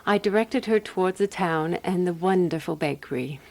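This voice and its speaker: A woman's voice: on the low side, normal speed, vocal fry, rough recording. Composed woman detective in radio drama. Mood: measured narrating. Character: Alice, calm, practical woman amateur detective narrating her case. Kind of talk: radio drama dialogue and first-person narration.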